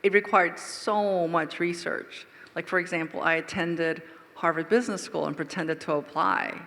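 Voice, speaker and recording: A woman's voice: on the low side, fairly even intonation, normal speed, gravelly, a bit bright. A woman author speaking to readers at a book talk, emphatic and full of rhetorical questions. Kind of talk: public talk answering readers. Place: lecture hall with reverb. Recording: reverberant hall sound.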